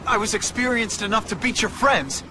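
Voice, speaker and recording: An actor playing a cocky, chatty young superhero who delivers sarcastic quips in a video game. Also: male voice, sits high, animated, moderate pace, background noise. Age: young adult. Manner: quippy, sarcastic.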